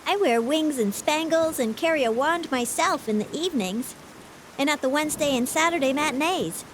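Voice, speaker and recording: A woman's voice: high-pitched, expressive, moderate pace, a little hiss or hum, pitched above the performer's own voice, rough recording. A woman voicing a solemn, pale little girl in a storybook reading, speaking earnestly and precisely. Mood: explaining. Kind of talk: audiobook reading.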